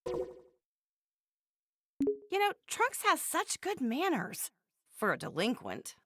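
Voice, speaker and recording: Doting, pointed anime game mother; fairly high register, expressive and strict. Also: drawn-out words, dry recording, studio quality, exaggerated voice. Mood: teasing, disapproving. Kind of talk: scripted English anime game voice acting.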